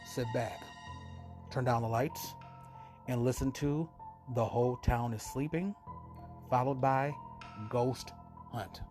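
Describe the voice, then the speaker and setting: Male host talking over clear music. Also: mid-range voice, fairly even intonation, slow, long pauses. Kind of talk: scripted podcast introduction.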